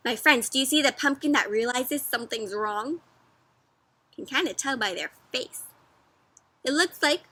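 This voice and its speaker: A woman doing an interactive storybook read-aloud for young children, animated and teacherly, often prompting her listeners with questions. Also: high-pitched, dry recording.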